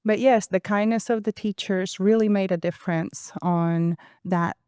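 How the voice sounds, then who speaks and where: Venezuelan woman with a smooth voice. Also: medium pitch, fairly even intonation, normal speed, a little mellow, no room sound, studio quality. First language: Spanish. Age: 40s. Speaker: podcast guest. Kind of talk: conversational interview.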